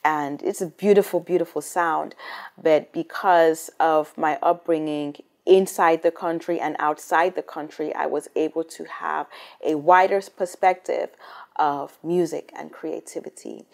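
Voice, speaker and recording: A woman's voice: sits low, fairly even intonation, a little slow, gravelly, a little mellow. A woman from Cameroon, a singer, answering interview questions in an eager, storytelling way. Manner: enthusiastic, storytelling, repeats words. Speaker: singer and rapper guest. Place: hotel room interview set, indoors.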